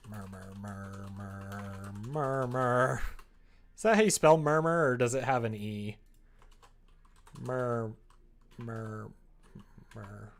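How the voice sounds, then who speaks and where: A man streaming as he writes a visual novel, chatting casually and thinking out loud as he goes. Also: fairly low pitch, slight background noise. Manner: thinks aloud, reads typed prose slowly and haltingly. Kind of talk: casual livestream chat, murmuring draft lines while writing.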